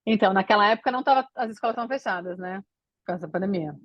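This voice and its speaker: A woman from Brazil talks in a relaxed, chatty way as a podcast guest, trailing into fillers and restarts. Her voice sits at a middling pitch. The sound is a bit dull and lo-fi, with a dry, close feel. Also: very fast, no room sound. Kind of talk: casual interview chat.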